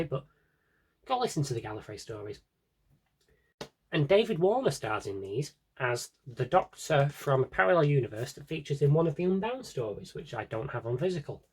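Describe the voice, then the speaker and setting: A man's voice: fairly high pitch, slightly rough. A man talking casually and off the cuff as a vlogger, rambling with tongue-in-cheek asides. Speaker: vlogger showing an audio drama CD collection. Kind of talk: casual unscripted chat to camera.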